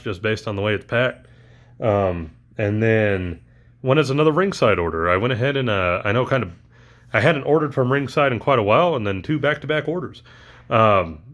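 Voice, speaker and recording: A man's voice: mid-range voice, lively intonation, fairly quick, fairly bright tone. A man talking casually through a toy unboxing, rambling with lots of ums and uhs, his voice recently back after allergies.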